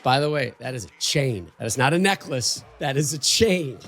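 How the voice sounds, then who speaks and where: A man's voice: medium pitch, animated, normal speed, fairly bright tone. A man hosting a casual sports podcast, upbeat as he reads sponsor lines and plugs the show. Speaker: podcast host.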